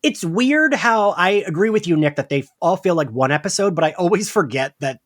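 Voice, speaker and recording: A man co-hosting a casual podcast chat, animated and quick to laugh, with lots of fillers. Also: high voice. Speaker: podcast co-host.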